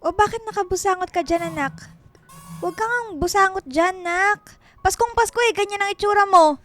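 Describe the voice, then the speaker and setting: A woman's voice: very high-pitched, moderate pace, a bit bright, faint music behind. A woman playing a fussy, affectionate Filipina mother who nags and exclaims, in a radio drama. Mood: nagging.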